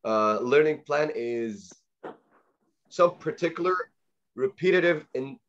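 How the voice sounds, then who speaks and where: A man from Egypt with an Egyptian Arabic accent, a native Arabic speaker, teaching an online language lesson in a halting way, full of fillers and repeated words. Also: fairly high pitch, expressive, highs cut off. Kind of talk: online training talk.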